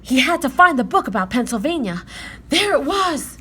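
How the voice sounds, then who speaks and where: A woman narrating a children's story aloud in an expressive read-aloud style. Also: high voice, moderate pace, a little hiss or hum.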